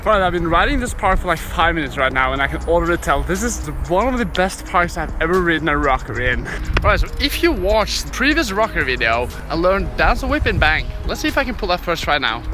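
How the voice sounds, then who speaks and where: A man's voice: on the high side, brisk, over loud music. A man in his twenties chatting casually and energetically to an action camera he holds at arm's length in an outdoor skatepark. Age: 20s. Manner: upbeat, energetic. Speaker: BMX rider presenting a vlog. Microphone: action camera held at arm's length.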